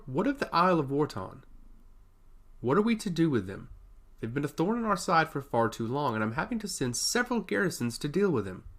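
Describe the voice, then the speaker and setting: A man's voice: on the high side, fairly bright tone, voice pushed up, heavily acted. Man playing a solemn, dutiful servant commander. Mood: weary concern. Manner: deferential, formal, solemn. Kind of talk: fantasy audiobook dialogue.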